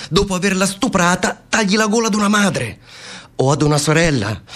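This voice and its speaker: An actor playing a ruthless zealous man of power in a theatrical radio drama, declaiming in an insistent, rhetorical way. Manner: declamatory, rhetorical, insistent, swelling from low to high.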